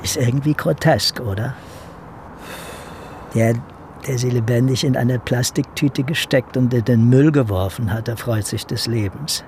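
An actor playing a detective's brooding, sceptical colleague in a radio drama, musing and questioning. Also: male voice, medium pitch, unhurried, a little mellow, slight background noise, natural voice. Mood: cynical, pensive. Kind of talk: naturalistic radio drama acting.

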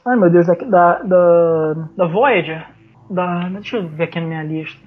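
A Brazilian man in casual podcast discussion, talking haltingly and repeating words as thoughts form. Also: high voice. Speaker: podcast panelist. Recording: dull, narrow online call audio.

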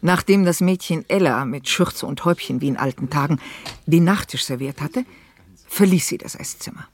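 An actress playing a middle-aged, unmarried forensic pathologist who narrates a radio mystery in a measured, articulate way. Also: female voice, low-pitched. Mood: composed. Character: unmarried female forensic pathologist, dry-humoured colonel's sister narrating. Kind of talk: scripted first-person radio play narration.

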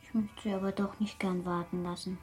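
A woman playing a dutiful little schoolgirl in a radio drama, shy and stiff. The voice is breathy at a middle pitch, with faint music behind it. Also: little pitch movement, fairly quick, darkish tone. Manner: stilted, dutiful, reserved. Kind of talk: German radio play acting.

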